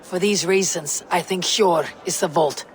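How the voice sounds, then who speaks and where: A woman's voice: lowish voice, measured pace, long reverb tail, a little hiss or hum, natural voice. An actress playing a stern, determined female Viking warrior in a video game, speaking in a terse, commanding way. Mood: firm, urgent. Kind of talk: dramatic video game acting.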